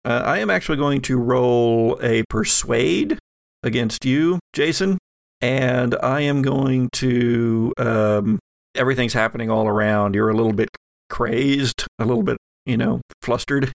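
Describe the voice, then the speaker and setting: A man acting as game master, narrating a horror tabletop game in a halting, descriptive way. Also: mid-range voice, vocal fry.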